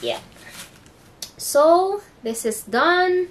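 A woman's voice: extremely high pitch, animated, drawn-out words, a little hiss or hum. A young woman with a Filipino accent, whose first language is Filipino, chatting casually in a haul vlog from her bedroom. She searches for English words and talks in a hesitant, chatty way. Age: young adult. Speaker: vlogger. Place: bedroom indoors.

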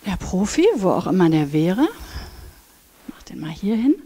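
Animated woman lecturing in a big reverberant hall. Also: fairly low pitch, moderate pace, breathy edge. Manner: animated, acts out inner voices. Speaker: speaker at a conference. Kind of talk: lively conference talk with acted inner voices. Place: large brick hall with seated audience. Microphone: headset mic.

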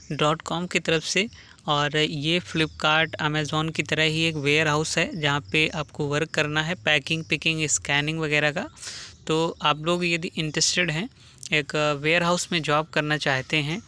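A man's voice: a little high, bright, forceful tone, clear, resonant tone. A man hosting a job vacancy channel, reading through a listing on screen and explaining it in plain terms with the odd "uh" filler. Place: voice-over over a phone screen recording.

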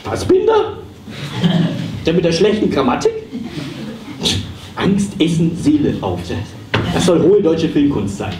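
A man's voice: on the high side, wildly swooping pitch, measured pace, pauses often, a crowd in the background. A man playing a muddled, ranting psychotherapist who stammers and exclaims in a live comedy radio play. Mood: muddled, excitable. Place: small dark theatre stage, live. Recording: echoey, noisy stage recording from far off.

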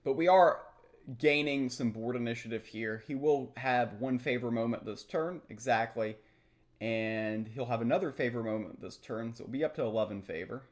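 A man's voice: medium pitch, moderate pace. A young adult man giving live, analytical commentary on a game as he plays, thinking aloud through each move. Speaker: streamer, card game player. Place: small room at home, indoors.

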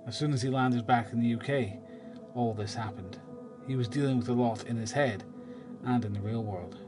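A man's voice: mid-range voice, normal speed, over music. A man reading scripted narration for an audio drama.

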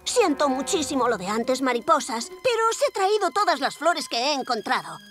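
Lady doing a plucky kid hero boy, castilian spanish, really high eager voice, cartoon music behind. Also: very animated, moderate pace, a bit bright. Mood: earnest, gentle. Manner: eager, confident. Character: plucky, earnest young boy superhero in cat costume. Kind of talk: children's cartoon dubbing.

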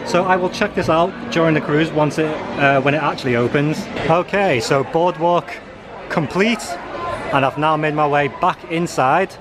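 Higher-pitched man vlogging over background noise. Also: moderate pace. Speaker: travel vlogger and tour host. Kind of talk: casual walking video tour narration. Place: walking around a busy cruise ship, indoors and on open decks. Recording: busy, noisy public ship ambience.

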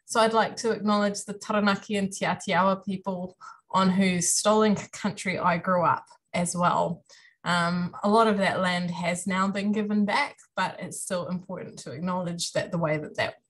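A woman's voice: lowish voice, steady tone, normal speed. A woman in her thirties with a New Zealand accent gives a friendly, self-deprecating career talk to students over a video call from a room at home. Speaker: university lecturer giving a career talk. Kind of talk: online presentation to students. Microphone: webcam on a video call.

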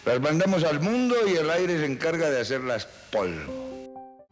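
A man's voice: a little high, big pitch swings, moderate pace, soft background music. A man, a radio writer, delivering a rambling, theatrical lament on a reverberant old film soundtrack. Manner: rambling, dramatic, repetitive.

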